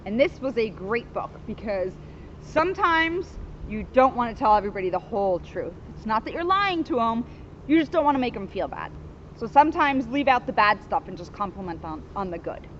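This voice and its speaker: A woman with a high, very lively voice reads a children's story in an expressive, chatty manner. The recording is slightly muffled, made outdoors with clear background noise. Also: brisk. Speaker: police officer reading a children's book aloud. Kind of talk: animated picture-book read-aloud with casual asides. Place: outdoors at an elementary school. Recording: muffled, noisy outdoor recording with vehicle sounds.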